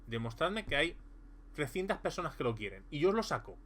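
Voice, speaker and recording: A man's voice: a little high, very animated, brisk, a bit bright. A man casually reviewing a tabletop role-playing game, rambling with many fillers and restarts. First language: Spanish.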